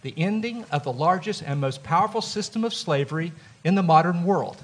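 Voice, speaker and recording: A man's voice: high voice, animated, a little fast. A man with a Southern US accent, an author speaking earnestly on a panel at a live event. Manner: earnest, explanatory. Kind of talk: panel talk. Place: festival event room.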